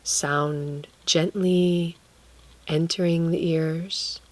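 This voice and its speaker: A woman guiding a relaxation meditation, speaking gently and leaving long pauses between phrases. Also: fairly low pitch, steady tone. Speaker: guided meditation teacher.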